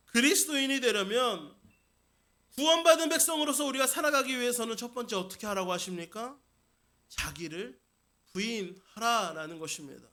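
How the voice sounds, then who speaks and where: A man's voice: very high-pitched, sharp tone. A man preaching a Sunday sermon as senior pastor in a church service. He speaks emphatically, addresses the congregation directly and repeats key phrases. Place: church sanctuary during a service.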